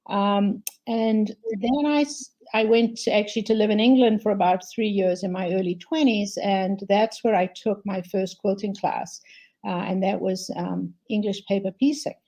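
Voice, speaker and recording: An older woman, a quilt designer, answering interview questions thoughtfully with frequent pauses and ums over a video call. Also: mid-range voice, dark tone. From South Africa.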